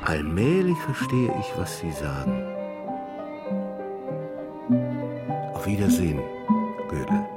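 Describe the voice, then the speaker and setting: A man's voice: low-pitched, huge pitch swings, normal speed. An actor playing an urbane, middle-aged male professor in a radio drama, speaking in a formal, musing, dryly ironic way. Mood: serene, resigned. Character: male professor, urbane, wry, serene while dying. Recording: studio radio drama under loud music.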